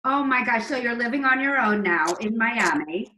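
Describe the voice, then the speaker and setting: A woman's voice: expressive, normal speed, pushed and bright. A woman hosting a talk show, interviewing a guest with effusive exclamations. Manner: effusive, exclaims with big pitch leaps. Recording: echoey room.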